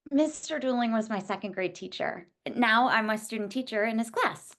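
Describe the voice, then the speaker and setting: A woman's voice: on the high side, animated, moderate pace, fairly bright tone. A woman playing an earnest, easily exasperated young student teacher in a script table read. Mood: earnest, pleading.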